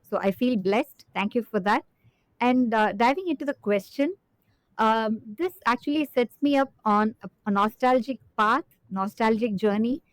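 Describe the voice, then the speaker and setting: A woman's voice: a little high, moderate pace, no room sound, low-quality recording. Earnest middle-aged woman, dry close sound. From Chennai, India. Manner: earnest, many fillers and restarts. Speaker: guest executive. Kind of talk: reflective interview answer.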